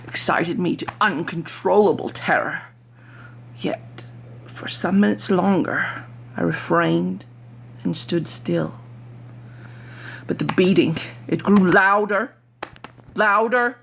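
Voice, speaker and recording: A performer voicing a nervous, obsessive mad murderer in a dramatic gothic horror reading, with breathy whispering and halting, theatrical emphasis. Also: mid-range voice, fairly bright tone, a little hiss or hum, exaggerated voice.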